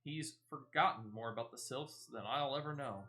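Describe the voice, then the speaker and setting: A courteous fantasy officer who speaks in a formal, measured way and often turns lines into polite questions, as a man reads the part. The delivery is even and composed. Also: medium pitch, natural voice.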